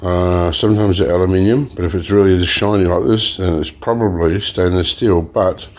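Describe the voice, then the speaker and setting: A man hosting a recycling channel, talking casually while taking apart electronics, slightly hoarse and pausing with fillers. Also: sits low. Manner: relaxed, explains while working, frequent fillers and restarts. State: slightly hoarse, clearing throat. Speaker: e-waste recycling channel host. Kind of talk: casual hands-on demonstration.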